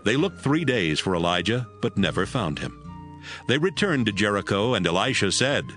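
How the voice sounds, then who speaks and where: A man's voice: fairly low pitch, very animated, normal speed, a bit bright, soft background music. A man giving scripted narration for a dramatized audio Bible.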